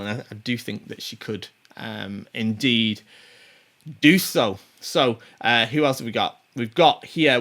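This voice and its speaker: Man, forties, show host, casual chatty commentary, lots of ums and restarts, mid pitch. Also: sharp tone. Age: 40s. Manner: rambling, many ums and restarts; chatty, chuckles to himself. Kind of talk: casual commentary on tournament players.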